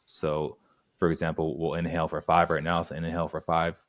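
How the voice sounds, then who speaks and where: A man coaching breathwork as a podcast guest, calmly guiding listeners through breathing exercises in short instructive phrases. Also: rumbling low, fairly quick, no room sound, dull audio.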